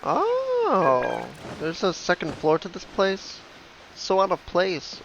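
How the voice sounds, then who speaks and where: A gamer hosts a casual playthrough with a lively, creaky voice of middle pitch. The reactions are short and offhand, picked up on a dull lo-fi mic with faint music behind. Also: slow pace, background noise. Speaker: host. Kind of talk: casual video game playthrough commentary.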